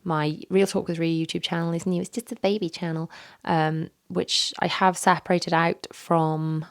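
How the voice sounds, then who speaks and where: Hoarse, gravelly woman podcaster. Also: lowish voice, level tone, moderate pace. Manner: chatty, candid, rambling with many fillers. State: hoarse, recovering from illness. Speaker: podcast host. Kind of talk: casual solo podcast chat. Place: home office. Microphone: studio microphone.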